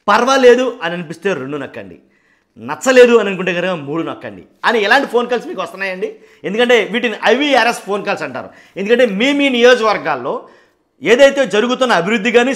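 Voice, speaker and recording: A man's voice: sits high, fairly bright tone. This is a man. He talks to viewers as a commentary host, in a casual, explanatory way. He repeats phrases to drive points home and often voices other people's questions as if they were his own. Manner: asks rhetorical questions, acting out others' reactions. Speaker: host of a political commentary channel.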